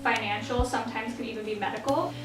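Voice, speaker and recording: Young woman with a bright higher voice. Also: fast talker, reverberant, recorded from a distance, over music, rough recording. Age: young adult. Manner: clear, emphatic listing. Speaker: student speaker. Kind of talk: earnest awareness talk.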